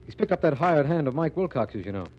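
An actor playing an earnest, businesslike district attorney, speaking formally in a 1940s radio drama. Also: male voice, a little high, big pitch swings, quick pace, soft background music. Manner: formal, declarative. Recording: muffled, hissy 1940s radio recording.

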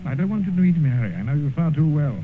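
An actor playing an earnest, protective, anxious painter who speaks confidingly in a radio drama. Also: male voice, on the high side, animated, racing, natural voice. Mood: worried, protective. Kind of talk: 1950s radio drama acting. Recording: narrow, noisy old radio recording.

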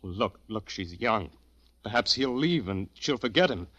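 A desperate young lover from an old radio play. His low, rough-edged voice swings widely as he pleads and stammers in agitation. The sound is close and dry. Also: expressive, fairly bright tone, no room sound, dull audio. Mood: nervous. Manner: halting, emotional, repeats himself when agitated. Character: lovesick anxious young man, pleading and increasingly desperate. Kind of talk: 1940s radio drama acting. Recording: old radio recording, faint music.

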